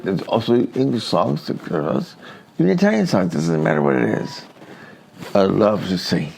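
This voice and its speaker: Effusive man with a gravelly voice. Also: medium pitch, darkish tone, a little hiss or hum. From Canada. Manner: effusive, keeps addressing "my friends". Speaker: listener sending a message. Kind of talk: heartfelt spoken tribute. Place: Hamilton, Canada.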